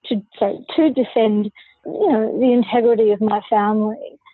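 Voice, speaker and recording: A woman's voice: on the high side, steady tone, normal speed, husky, no room sound, narrow, tinny audio. A woman who is a podcast guest, telling her personal story candidly and forthrightly in an interview.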